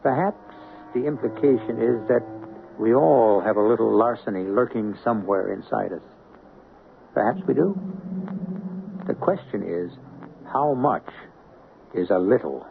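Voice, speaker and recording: A man hosting a suspense radio drama, narrating in a measured, ominous, philosophical manner. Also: on the low side, expressive, creaky. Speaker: host and narrator. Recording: narrow old radio broadcast with music.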